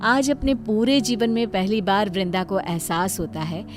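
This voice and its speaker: A woman's voice: mid-range voice, steady tone, unhurried. A woman narrating a scripted bedtime story. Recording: music behind the voice. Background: background music.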